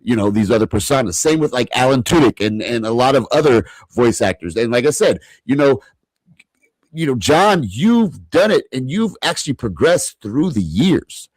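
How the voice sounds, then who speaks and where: A man's voice: mid-range voice, very animated, normal speed, dry recording, low-quality recording. A man co-hosting a podcast, talking emphatically with lots of repeated restarts. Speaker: podcast co-host. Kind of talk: casual interview chat.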